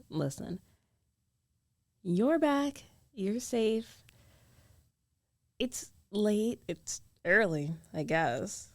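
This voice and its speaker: A woman performs a young female roommate who is jealous and secretly smitten. She speaks in an emotional, conversational way, protesting and pleading like a girlfriend-roleplay character. Her mid-pitched voice sits close to the mic with no room sound at all. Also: gravelly, dry recording, full character voice. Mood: tender, resigned. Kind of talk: girlfriend ASMR roleplay acting. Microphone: close studio microphone, dry.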